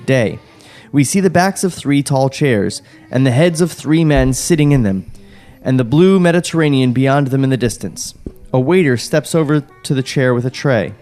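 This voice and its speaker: A man's voice: medium pitch, moderate pace, darkish tone, faint music behind. A man hosting a podcast, reading a screenplay's stage directions aloud in a comedic table read and cracking jokes between lines. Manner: reads directions steadily, breaks off into jokes and laughter. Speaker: podcast host reading a script.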